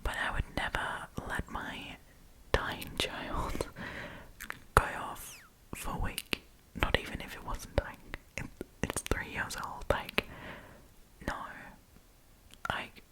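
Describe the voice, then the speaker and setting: A woman speaking in a breathy whisper as an ASMR storytime host, chatting casually and giving her opinions. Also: slow pace, long pauses, low-quality recording. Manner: informal, conversational, opinionated.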